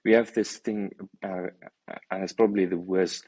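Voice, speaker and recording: A man's voice: sits low, fairly even intonation, normal speed, a little mellow, breathy edge, no echo. A man from South Africa, a native Afrikaans speaker with a South African accent, chatting as a guest and hesitating often. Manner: hesitant, many ums and restarts. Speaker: guest, stabilizer maker. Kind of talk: casual interview chat.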